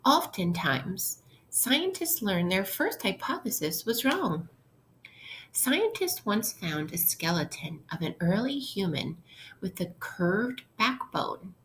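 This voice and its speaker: A woman's voice: medium pitch, very animated, slow pace, a bit bright, slight background noise, poor audio. A woman teacher reading aloud to children in an instructional way, explaining things simply. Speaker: elementary school teacher. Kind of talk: interactive read-aloud lesson for children.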